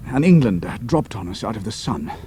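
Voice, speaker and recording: An actor playing a loyal, cool-headed British pilot hero in an audio drama, with a firm, clipped manner. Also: male voice, lowish voice, sing-song, fairly quick, warm tone, slight background noise. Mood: reassuring, stubborn.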